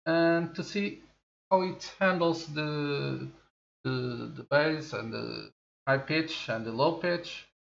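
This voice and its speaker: A man's voice: fairly high pitch, creaky, fairly bright tone. A man in his forties, a native Portuguese speaker, hosting a tech review channel and unboxing a product at a studio desk in a casual, thinking-aloud way. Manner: slow, chatty, thinking aloud with many fillers. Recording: echoey room, slightly dull audio.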